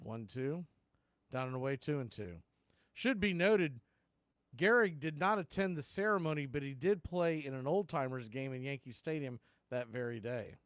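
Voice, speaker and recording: A man hosting a baseball broadcast, giving play-by-play commentary in clipped phrases with deliberate pauses. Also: mid-range voice, lively intonation, a bit bright, clear, resonant tone, no echo, studio quality. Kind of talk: live play-by-play commentary mixed with history recap.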